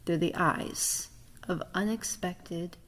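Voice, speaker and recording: A woman's voice: on the low side, slow, fairly bright tone. A woman narrating a scripted introduction to an audio drama in a formal, dramatic storyteller's manner. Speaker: author, director and narrator. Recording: long reverberant hall sound.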